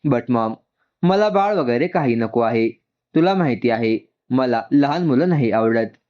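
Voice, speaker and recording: A man's voice: mid-range voice, lively intonation, measured pace, lightly acted. A man voicing an arrogant, irritable young rock star in a told story, dismissive and mixing in English. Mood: annoyed. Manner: annoyed, dismissive, mixes in English. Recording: dull, muffled lo-fi sound.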